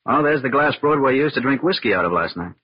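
An actor playing an observant, cooperative kidnap victim, carefully recounting details in a 1940s radio crime drama. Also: male voice, mid-range voice, expressive, quick pace, noisy background, lightly acted. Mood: helpful. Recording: dull, lo-fi old radio recording.

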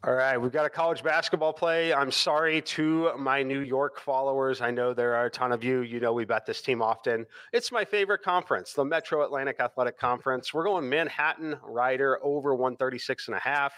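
A man giving college basketball betting picks in a steady, stat-heavy way. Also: medium pitch, brisk, few pauses, no room sound. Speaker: sports betting analyst.

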